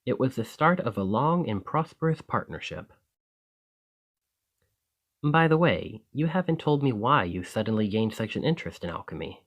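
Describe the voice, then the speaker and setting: A man's voice: mid-range voice, big pitch swings, normal speed, fairly bright tone. A man playing a friendly, earnest, easily flustered alchemist in an ASMR roleplay, talking conversationally to the listener. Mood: sheepish, then pleasantly surprised. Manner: conversational, enthusiastic, hesitant when embarrassed.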